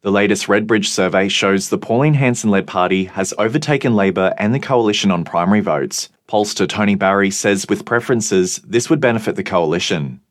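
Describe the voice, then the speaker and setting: Low, dry male radio newsreader. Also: dry recording. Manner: measured, even newsreading. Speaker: news and sport reader. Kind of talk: scripted radio news.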